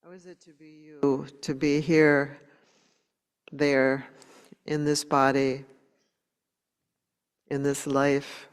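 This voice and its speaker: A woman meditation teacher leading a guided meditation in a gentle, unhurried way, leaving long pauses between short phrases. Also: sits low, little pitch movement, clean tone. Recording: long hall reverb.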